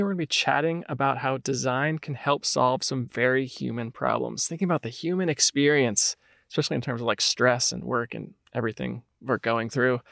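Gravelly-voiced upbeat podcast host. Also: male voice, medium pitch, a bit bright, no room sound, studio quality. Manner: upbeat, reads announcements then chats loosely. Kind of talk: scripted podcast intro then casual interview.

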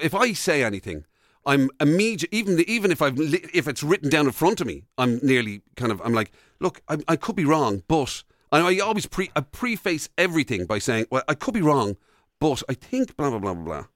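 A man's voice: a little high, big pitch swings, a little fast, no room sound, studio quality. An Irish man co-hosting a radio show, chatting casually with a wry, teasing manner. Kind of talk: casual comic banter.

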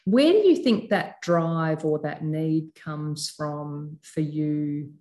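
Female interviewer, very low voice, casual and probing. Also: takes it slowly, mellow. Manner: probing, follow-up questions with short backchannels.

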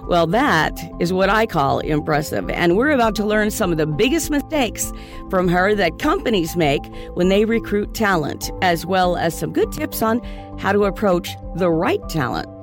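Woman, podcast announcer, scripted intro, animated pitch, music underneath. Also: moderate pace. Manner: upbeat, emphatic.

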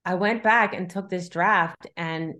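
A woman's voice: lowish voice, unhurried, bright, forceful tone. A middle-aged woman talking casually as an interviewed novelist, thinking aloud in loose, looping sentences. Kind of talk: casual conversational interview about writing.

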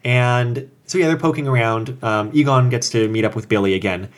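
A man's voice: medium pitch, brisk. Man explaining fan lore casually. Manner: explanatory, joking, rambling with asides. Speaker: podcast co-host. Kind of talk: casual chat.

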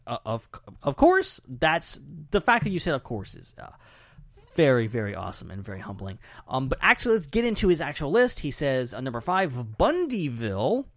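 A man's voice: medium pitch, wildly swooping pitch, normal speed, no echo, clean studio sound. A man hosting a podcast in casual chat, talking in an informal, jokey, rambling way. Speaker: podcast host.

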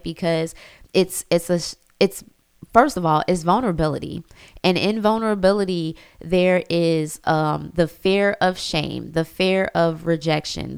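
A woman's voice: on the low side, smooth, no echo, studio quality. A young woman thinking aloud in a personal reflective monologue, full of fillers and restarts. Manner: thoughtful, frequent fillers and restarts. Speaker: reflective speaker.

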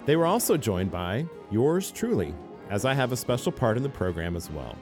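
Low-voiced radio guy, animated delivery, dry joking, bit of music underneath. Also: moderate pace. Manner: wry, self-deprecating. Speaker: radio host and reporter. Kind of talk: radio broadcast intro and interview.

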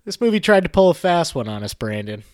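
A man's voice: a little high, very animated, fast talker, no echo, clean studio sound. A young man co-hosting a podcast, musing about a movie in a rambling, hedging way. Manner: rambling, hedging, lots of "like" and "I guess". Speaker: podcast co-host. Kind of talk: casual movie discussion.

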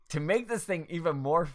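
A young American woman with an exceptionally deep voice for a woman. She co-hosts a casual, funny movie podcast, talking in a sarcastic, exasperated way and swearing freely. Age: 20s. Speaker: podcast co-host. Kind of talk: casual comedic movie discussion.

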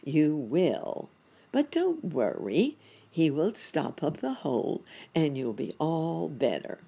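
A kind, sensible muskrat nurse, as a woman reads her, calm and reassuring. The voice is low and slow, with a husky, gravelly texture. Her lines rise and fall in a lively storybook way. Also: full character voice.

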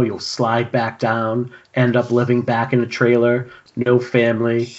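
A man playing a cynical male villain who needles and goads, with a medium-pitched voice, in an amateur table read. The audio is dull, like an internet call. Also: close to an everyday voice. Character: cynical, goading hallucinated male horror villain.

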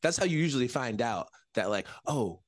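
Animated man, lively pitch, dry sound. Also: moderate pace, dry recording. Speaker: podcast guest. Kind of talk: casual film discussion.

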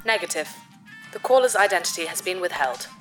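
A woman's voice: on the low side, expressive, brisk, sharp tone, faint music behind. An actress playing an eager, easily hurt female spaceship computer in a sci-fi audio drama. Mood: cold, formal. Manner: speaks of herself in the third person.

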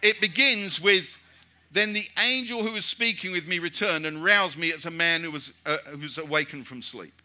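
Bright-voiced British man preaching. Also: fairly high pitch, normal speed. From Britain. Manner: conversational, restarts often, rhetorical repeated questions. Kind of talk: sermon.

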